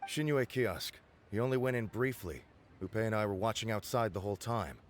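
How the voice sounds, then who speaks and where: Dutiful, low-voiced male scout acted. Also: brisk, slight background noise, lightly acted. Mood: earnest, reporting. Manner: earnest, respectful. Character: dutiful, apologetic male subordinate scout giving report. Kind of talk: video game voice acting.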